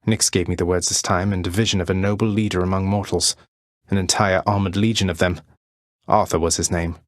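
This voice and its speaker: A low, closely recorded male voice with very little room around it, an actor in role. He is a young underworld prince from a video game, rebellious yet earnest. He speaks in a polite, understated, conversational way edged with dry wit. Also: flat delivery, a little fast, dry recording, close to an everyday voice. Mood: polite, easygoing. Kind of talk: video game character voice lines.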